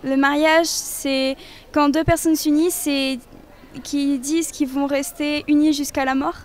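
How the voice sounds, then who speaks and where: High-voiced teen schoolgirl, hesitant. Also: little pitch movement, unhurried. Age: teens. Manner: hesitant, thinking aloud. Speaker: school pupil interviewed. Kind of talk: street interview answers. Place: school walkway outdoors. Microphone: handheld mic.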